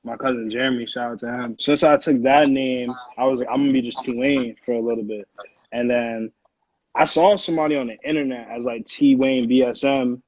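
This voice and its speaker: Husky young American man, roomy sound. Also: mid-range voice, a little mellow. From Connecticut, United States. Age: 20s. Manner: slangy, frequent profanity. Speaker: interview guest, music producer. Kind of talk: casual interview chat. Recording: dull, roomy lo-fi call audio.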